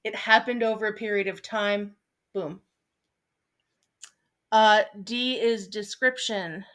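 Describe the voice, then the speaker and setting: A woman in her thirties, a teacher, explaining a lesson in a relaxed, chatty way, with frequent ums and small asides. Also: medium pitch, slow. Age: 30s. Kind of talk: recorded online lesson. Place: at home, seated at a desk.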